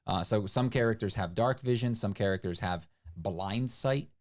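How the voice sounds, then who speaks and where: Laid-back male streamer, lowish voice, talks in loose run-on explanations. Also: moderate pace. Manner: rambling, lots of "like" and restarts. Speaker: livestream co-host. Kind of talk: casual stream chat.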